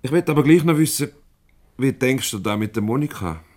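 An actor playing a shrewd, teasing boss and go-between in a 1970s Swiss German radio comedy, probing, wry and avuncular. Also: male voice, mid-range voice, expressive, fairly quick, darkish tone, natural voice. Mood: insistent, teasing. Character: shrewd, teasing boss mediating a couple's quarrel.

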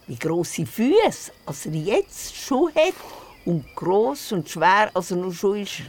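A woman's voice: sits low, exaggerated voice. A woman playing a spirited, matter-of-fact older grandmother telling stories in Swiss German dialect in a radio play. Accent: Swiss German, Glarus area. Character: spirited storytelling grandmother of a young boy.

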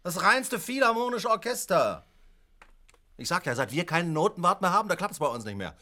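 A man's voice: high-pitched, big pitch swings, brisk, sharp tone, exaggerated voice. A man playing a fussy, complaining, scatterbrained leader of a chaotic music group in a comic musical radio play. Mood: wistful, forgetful. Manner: exclamatory, grumbling, scatterbrained.

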